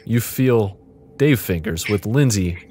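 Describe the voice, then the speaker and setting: Deep-voiced man with very lively pitch. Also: slow, a little hiss or hum. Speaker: game master hosting an interactive fiction game. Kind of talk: improvised game narration and casual banter.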